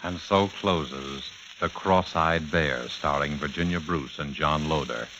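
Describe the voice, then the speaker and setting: A muffled vintage broadcast of a man with a deep voice over prominent music. He narrates a suspense programme in a measured, formal, ominous announcer style of the 1940s. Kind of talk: 1940s radio scripted narration. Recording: muffled 1940s radio, loud music behind.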